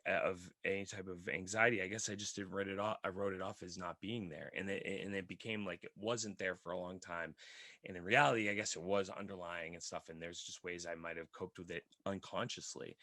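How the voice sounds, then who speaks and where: Raspy deep-voiced man, rambling. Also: bright, forceful tone, no room sound, clean studio sound. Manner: rambling, earnest, talks at length. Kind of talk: casual podcast chat.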